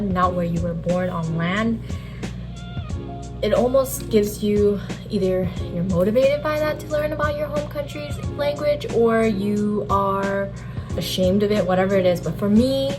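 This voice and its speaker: A woman's voice: medium pitch, measured pace. A young adult woman, born in Japan with Japanese as her first language, talking candidly and chattily in a casual vlog about her life, often saying "like". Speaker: vlogger, handywoman. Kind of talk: casual reflective monologue. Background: background music.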